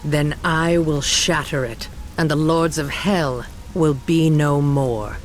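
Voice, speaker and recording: A woman's voice: deep voice, fairly even intonation, poor audio. A cold, commanding witch mother. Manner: measured, authoritative. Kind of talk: video game cutscene acting. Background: game music.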